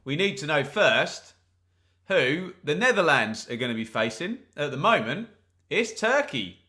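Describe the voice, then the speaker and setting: A man's voice: fairly high pitch, very animated, measured pace, sharp tone. A man hosting a live football watch-along, commentating on the play and chatting to viewers with jokes and asides. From England. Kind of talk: live match commentary and chat.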